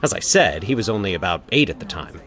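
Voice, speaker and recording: A man playing a weary, measured doctor explaining things in a dramatic story reading. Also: low-pitched, big pitch swings, fairly bright tone. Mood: resigned.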